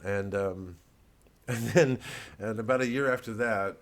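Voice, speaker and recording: A man's voice: fairly low pitch, unhurried. An American man, a comedian, talking casually to his fans in a rambling, digressive monologue with some swearing.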